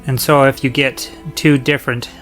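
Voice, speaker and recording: A man giving a prepared spoken review in a measured, articulate way, as a podcaster sending in feedback. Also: mid-range voice, flat delivery, fairly bright tone, faint music behind, rough recording.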